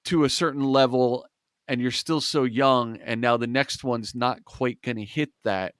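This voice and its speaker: A man's voice: medium pitch, fairly even intonation, measured pace, a bit bright, smooth, no echo, studio quality. A man hosting an interview, friendly, reading out listener questions. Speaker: interview host. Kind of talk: casual interview.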